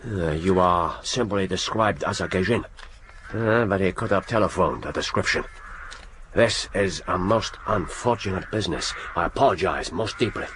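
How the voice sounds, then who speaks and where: An actor playing a genial, courteous middle-aged Japanese spymaster in a radio drama, with a Japanese accent and formal, effusive manner. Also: male voice, deep voice, moderate pace, a bit bright. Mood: grave, apologetic. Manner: formal, hesitant interjections, effusive. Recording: noisy radio drama with background ambience.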